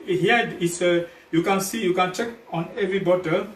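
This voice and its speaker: Halting Cameroonian man, echoey livestream. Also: high voice. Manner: halting, repetitive, rephrasing phrases several times. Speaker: health product sales partner, guest presenter. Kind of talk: informal livestream product pitch. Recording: echoey, noisy, distant livestream mic.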